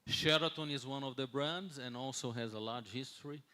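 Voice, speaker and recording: A man in his sixties, a non-native English speaker, gives an unscripted conference talk as a hotel executive, often pausing and repeating words as he searches for the next one. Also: mid-range voice, sharp tone. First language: not English. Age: 60s.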